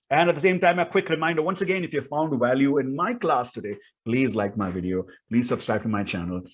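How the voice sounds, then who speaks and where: A man's voice: a little high, slightly rough. A man with an Indian accent giving an instructional talk as a speaking coach, emphatic and repetitive, often checking in with the listener. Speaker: voice and public speaking coach, presenter.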